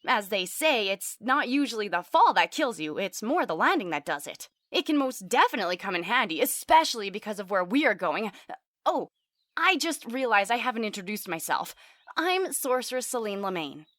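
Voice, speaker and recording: A woman's voice: mid-range voice, very animated, normal speed, fairly bright tone, no echo. A woman playing a polite, chatty elven sorceress and scholar in a fantasy audio drama. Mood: eager, polite. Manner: chatty, courteous, formal wording.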